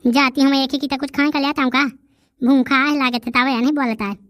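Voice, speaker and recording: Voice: high-pitched, steady tone, quick pace, fairly bright tone, dry recording, studio quality. A performer voicing a fretful, nosy old village granny in a cartoon comedy, exclaiming in rustic Bundelkhandi speech.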